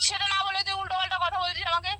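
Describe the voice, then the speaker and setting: A woman's voice: very high voice, flat delivery, rapid-fire, sharp tone, slight background noise. A woman on the phone in a heated informal argument, defending herself indignantly and repeating herself. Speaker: friend receiving a prank call.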